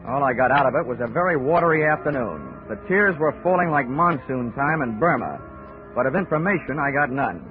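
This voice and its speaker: An actor with an American accent playing a wry, hard-boiled investigator in a 1940s radio drama, dry and wisecracking. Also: male voice, mid-range voice, lively intonation, fairly quick, close to an everyday voice. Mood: dry narration. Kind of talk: 1940s radio acting, noir narration. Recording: tinny 1940s radio recording, music behind.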